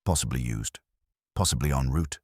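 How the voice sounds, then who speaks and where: A man's voice: very deep voice, a little mellow, slightly rough, no room sound, studio quality. A man voicing an imperious, unruffled older master detective who speaks formally and with command in a detective story. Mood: stern, exacting. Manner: formal, commanding, deliberate wording.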